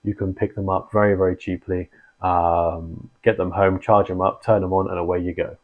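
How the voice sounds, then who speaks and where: A man hosting a channel, explaining gear in a relaxed conversational way full of ums and restarts. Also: low-pitched, normal speed, phone-quality sound. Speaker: channel host and founder of a radio community. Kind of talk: casual explainer talk.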